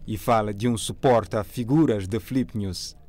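A man's voice: fairly low pitch, unhurried, a little mellow. A man with a Mozambican accent reading scripted narration for a television news report.

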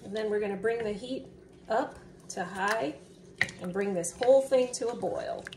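A young woman casually narrating a home cooking video in a relaxed, chatty way, with fillers. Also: medium pitch, normal speed. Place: home kitchen. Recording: distant mic, noticeable room noise.

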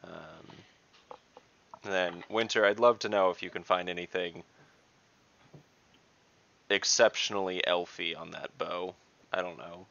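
A young man playing a tabletop game on a video call, speaking slowly and deliberately with fillers. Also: sits low, steady tone, gravelly, bright, forceful tone, slight background noise. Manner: slow, deliberate speech with fillers. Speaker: player. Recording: dull video call audio.

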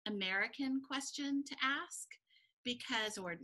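A middle-aged woman co-hosting a relaxed chat over a shared webcam at home. Her voice is bright and forward, and she laughs often and talks with lively energy. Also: sits high, unhurried. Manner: giggly, animated. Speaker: podcast co-host. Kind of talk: casual video-call chat. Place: home room, indoors. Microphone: video call, shared webcam.

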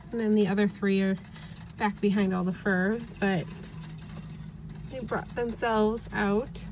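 A woman's voice: mid-range voice, a little mellow. A young woman chatting casually as she vlogs on a farm, recorded outdoors on a handheld phone. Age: young adult. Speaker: homestead vlogger. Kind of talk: casual vlog chat. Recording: noisy outdoor phone audio.

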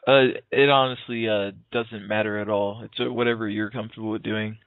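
A man's voice: fairly low pitch, steady tone, fairly quick, smooth, no echo. A man in his early twenties making a prank phone call in a casual, slangy, hesitant way, acting sincere. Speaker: prank caller. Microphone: telephone call. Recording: narrow, tinny phone line.

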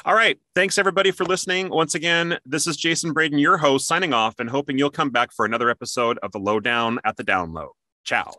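A man's voice: a little high, fast, sharp tone, dry recording, studio quality. A man hosting an interview show in an upbeat, chatty, promotional way.

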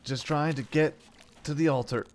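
A man's voice: a little high, fairly even intonation, normal speed, a little mellow. A man hosting a gaming video, thinking aloud in casual, playful commentary with frequent exclamations. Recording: game music under the voice. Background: game music.

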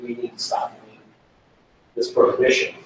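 A man's voice: moderate pace, fairly bright tone. A man in the audience asking a long, rambling question at a panel discussion. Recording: very echoey room from a distance, faint noise.

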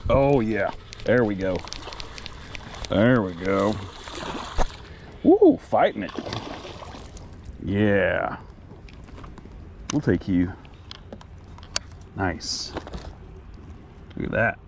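A man's voice: lowish voice, big pitch swings, drawn-out words, vocal fry, pauses often, darkish tone, a little hiss or hum. An American man chatting casually and in a folksy way to viewers while fishing from a kayak, recorded outdoors on an action camera. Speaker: outdoor vlogger, angler. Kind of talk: casual fishing vlog chat. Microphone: action camera at chest or head.

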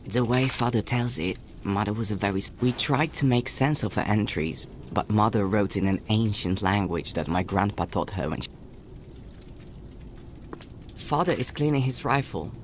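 A man voicing an earnest, protective young boy in a video game, narrating in a measured storybook way. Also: fairly low pitch, slight background noise, lightly acted. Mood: reflective. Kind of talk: scripted game character narration.

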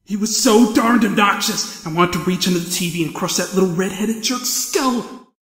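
A man's voice: high voice, normal speed, fairly bright tone, soft background music. A man voicing a tense, unhinged newspaperman with a secret identity, frantic and ranting, in a comic-book dub. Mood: hysterical rage. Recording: echoey with long reverb.

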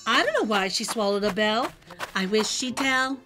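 A middle-aged woman hosting a children's read-aloud, telling a rhyming storybook in an animated, sing-song way over music. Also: mid-range voice, moderate pace. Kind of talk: expressive rhyming storybook narration. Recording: music behind the voice.

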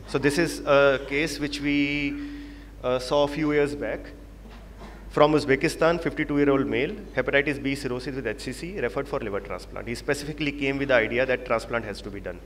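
A man's voice: mid-range voice, fairly bright tone. A man with an Indian English accent presenting and moderating a medical panel, explaining with emphatic repetition. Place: large conference hall. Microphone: handheld microphone. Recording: reverberant hall, faint background noise.

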